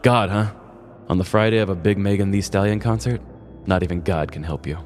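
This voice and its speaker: A man's voice: deep voice, a little fast, in a large hall, music in the background, close to an everyday voice. A man playing a jaded, skeptical, lazy police officer in a comedic story, blunt and dismissive. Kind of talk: comedic podcast story acting.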